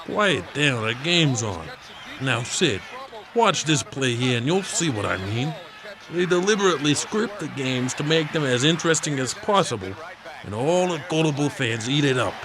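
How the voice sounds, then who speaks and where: A man playing a grumpy, folksy, conspiracy-minded father-in-law in a scripted comedy sketch, gruff and emphatic. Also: mid-range voice, animated, normal speed, a crowd in the background, lightly acted. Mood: grumpy, smug. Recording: noticeable background noise.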